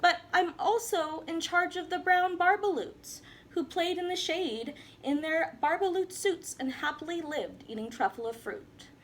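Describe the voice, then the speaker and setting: A woman's voice: very high-pitched, measured pace, hardly any pauses, slight background noise, voice pushed up. A woman voicing a grumpy small male forest creature, snapping and scolding.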